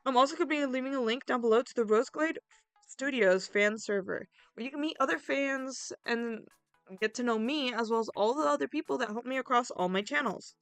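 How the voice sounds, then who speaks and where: A woman with a fairly high voice talks casually to her viewers as a video creator. Her delivery is informal and chatty, like an end-of-video update. Soft music plays behind her. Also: a little fast, clean tone, no room sound. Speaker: roleplay series host and video creator. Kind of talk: casual YouTube outro announcements, chatting to viewers. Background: background music.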